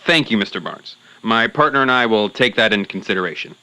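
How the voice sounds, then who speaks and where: An actor playing a cranky, self-important Sasquatch hunter as a blustery, indignant radio show guest. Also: male voice, lowish voice, lively intonation, fast talker, pushed and bright, slight background noise, poor audio. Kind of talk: acted radio interview.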